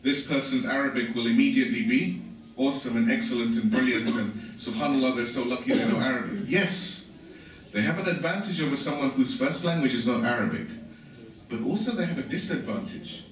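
A man's voice: medium pitch, fairly quick. A man from England giving an informal religious lecture, explaining things conversationally with asides. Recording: echoey hall, voice from far off, faint hiss.

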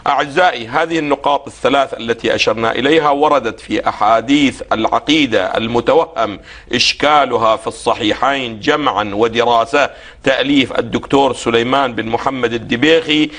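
Hoarse man teaching religion on TV. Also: mid-range voice, fairly bright tone. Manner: didactic, poses questions for the host to finish; slow, deliberate when reading quotations. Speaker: religious scholar guest. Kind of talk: televised religious lecture.

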